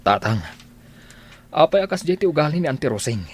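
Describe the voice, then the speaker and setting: An actor playing a gentle, lovelorn man with a sincere, pleading delivery in a radio drama. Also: fairly high pitch, big pitch swings, fairly quick, slightly rough. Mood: earnest. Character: earnest, lovelorn man Ferdinand, gentle and persistent.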